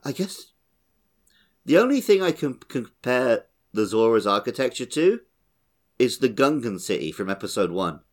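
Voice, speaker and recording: A man's voice: mid-range voice, big pitch swings, normal speed. A man hosting a podcast, chatting casually about a video game. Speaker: podcast host.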